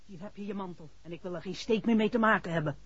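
A man's voice: sits high, fast talker, a bit bright. A performer acting a defiant, fed-up middle-aged mother, curt and stubborn, in a 1960s radio play. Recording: dull 1960s radio drama recording.

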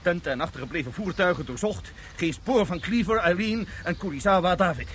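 An actor playing a nervous, deferential, stammering junior military officer in a science fiction radio drama. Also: male voice, sits high, expressive, brisk, fairly bright tone, slight background noise. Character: nervous subordinate male military officer on a space mission.

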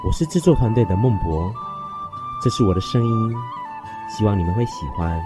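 A man's voice: on the low side, normal speed. A man making a late-night radio announcement as part of the production team. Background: loud flute music.

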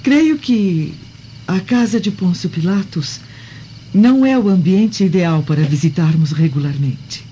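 Woman playing a very mellow, reserved Roman lady. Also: fairly low pitch, a little slow, slight background noise, close to an everyday voice. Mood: uneasy. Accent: Brazilian. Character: refined, reserved, uneasy Roman wife and mother. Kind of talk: radio drama acting. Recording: dull lo-fi audio, faint music.

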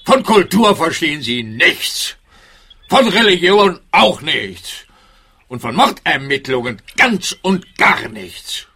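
An actor playing a brusque, irritable police detective in a radio play, with a curt, scornful manner. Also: male voice, fairly high pitch, very animated, slow, sharp tone, slightly rough, heavily acted.

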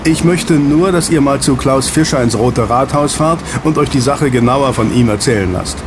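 An actor in an audio drama playing a friendly ex-agent who runs a snack bar, soothing and chatty. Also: male voice, mid-range voice, normal speed, over music, lightly acted. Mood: reassuring. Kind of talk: German audio drama dialogue. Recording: clear street and traffic ambience. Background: outdoor city street with vehicles.

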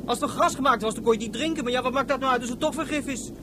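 With ambient noise behind, a young boy played by a woman chatters quickly and bluntly. He is matter-of-fact, a child of a space colony in a radio drama. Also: sits high, natural voice. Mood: musing. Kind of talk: Dutch science-fiction radio drama.

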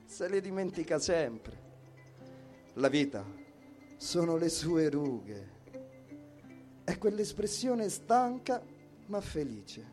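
A man recites poetry at a slow, measured pace in a mellow, fairly high voice. Plucked guitar plays softly behind his words. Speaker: poetry reader. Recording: soft guitar music behind. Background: plucked guitar music.

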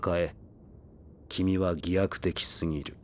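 Stern, low-voiced reserved father. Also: fairly even intonation, slow pace. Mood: reproving. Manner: curt, reserved, matter-of-fact. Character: stern, taciturn middle-aged father, coolly detached. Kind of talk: voice drama acting.

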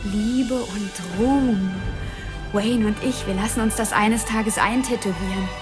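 A woman's voice: mid-range voice, unhurried, over music, lightly acted. A woman playing a childlike, volatile young outlaw in love, in a radio drama, with a naive, intense manner. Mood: dreamy devotion.

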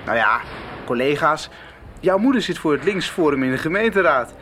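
An actor playing a touchy but easygoing teenage schoolboy in a radio soap. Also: male voice, on the high side, lively intonation, normal speed, sharp tone, a little hiss or hum. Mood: surprised, casual.

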